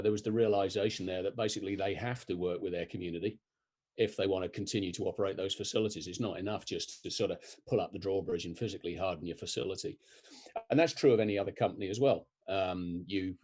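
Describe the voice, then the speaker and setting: Man from Europe, very low voice, husky, thoughtful, explaining with frequent pauses. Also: darkish tone. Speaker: guest, disaster resilience expert. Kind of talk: conversational interview answers.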